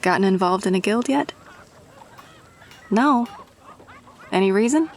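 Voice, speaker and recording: A woman's voice: medium pitch, lively intonation, measured pace, slight background noise. A woman playing a friendly, grateful rogue-for-hire in a fantasy audio roleplay, talking casually and chummily to the listener. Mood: friendly, persuasive.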